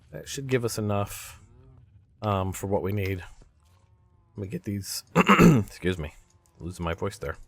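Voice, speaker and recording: A man with a low voice hosts a gaming video in a casual, unscripted way. He thinks out loud as he plays, talking himself through each step like someone working beside the viewer. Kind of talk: casual let's-play commentary.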